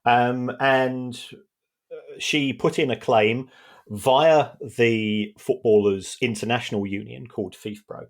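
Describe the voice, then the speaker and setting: A man giving conversational expert analysis on a podcast, explaining carefully in measured, hesitant phrases. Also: medium pitch, fairly even intonation.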